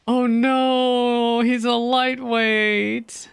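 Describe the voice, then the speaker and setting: A man's voice: very high voice, vocal fry, clean tone, dry recording. A man giving casual, informal and reactive commentary as he streams a game. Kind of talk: casual gameplay commentary, reading dialogue aloud.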